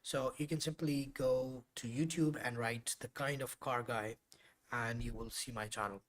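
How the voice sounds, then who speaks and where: A man's voice: mid-range voice, steady tone, moderate pace. Chatty man, native Urdu speaker. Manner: chatty and rambling, with frequent fillers and self-corrections. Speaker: host of a live coding stream. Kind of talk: casual live-stream talk to viewers.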